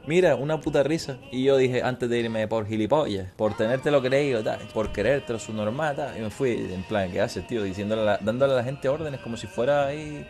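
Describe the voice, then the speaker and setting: A man talks quickly and casually, like a streamer mid-game. His manner is crude, slangy and joking, and faint music plays behind him. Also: mid-range voice, darkish tone. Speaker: gaming streamer. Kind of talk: casual livestream chat and storytelling during gameplay. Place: small room at home, indoors.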